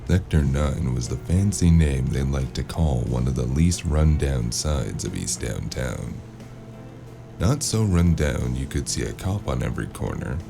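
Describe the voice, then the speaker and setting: An actor playing a jaded, wry investigator with a deep, creaky voice in a noir audio drama. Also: male voice, full character voice. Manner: wry, world-weary, casual. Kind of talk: noir audio drama with first-person narration.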